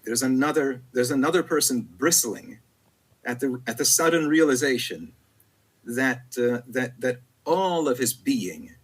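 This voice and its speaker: A man, a poet whose first language is Italian, speaking reflectively in an interview with frequent pauses, fillers and repeated words. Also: mid-range voice, expressive, slightly rough, poor audio.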